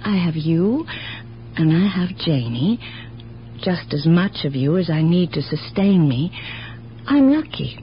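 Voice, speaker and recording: An actress playing a kindly, wistful older aunt in a radio drama, speaking earnestly and haltingly with compassion. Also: female voice, lowish voice, big pitch swings. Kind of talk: 1970s radio drama acting. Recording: muffled, noisy, humming old radio recording.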